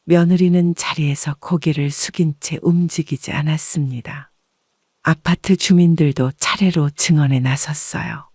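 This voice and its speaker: A woman reading a first-person story as an audiobook narrator in a measured, composed way. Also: low voice, fairly even intonation, a little mellow, breathy edge, dry recording, studio quality.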